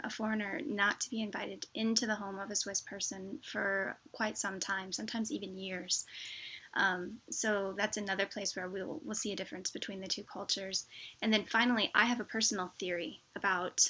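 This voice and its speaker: A woman with an American accent and a very bright, forward voice at an average pitch. She talks informally to her viewers like a vlogger, explaining her points through everyday examples. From United States (Wichita, Kansas). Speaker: vlogger, expat presenter. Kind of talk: informal explanatory talk to camera.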